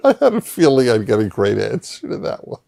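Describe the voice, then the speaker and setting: A man with a fairly low voice asks questions with an expressive, lively rise and fall. He interviews a guest, often breaking in with short agreeing remarks before he pushes on with probing questions. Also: fairly quick, creaky. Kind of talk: conversational interview.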